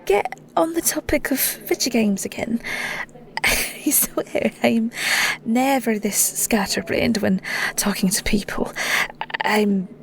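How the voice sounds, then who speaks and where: A woman's voice: a little high, takes it slowly, gravelly. A woman playing a flustered, sweet young Scottish shepherdess in an intimate audio roleplay, bashful, stammering and rambling. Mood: flustered, smitten.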